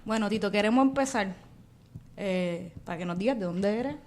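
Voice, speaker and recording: A woman's voice: mid-range voice, moderate pace, a little mellow, slight background noise. A woman hosting an interview, asking friendly, prompting questions. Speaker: podcast host.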